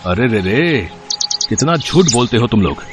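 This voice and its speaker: Guy doing a mean scornful landlord telling someone off, medium pitch, storybook drama with music. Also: very animated, a little slow. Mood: angry, scornful. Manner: scolding, contemptuous. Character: harsh, heartless, scornful landlord demanding overdue rent. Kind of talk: dramatized Hindi moral story acting. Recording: music behind the voice.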